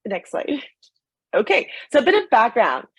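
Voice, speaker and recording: Woman from Saint Lucia, breathy voice. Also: a little high, wildly swooping pitch, normal speed, no room sound. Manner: friendly, conversational, with fillers. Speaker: hospital administrator, nurse. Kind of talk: presentation talk on a video call.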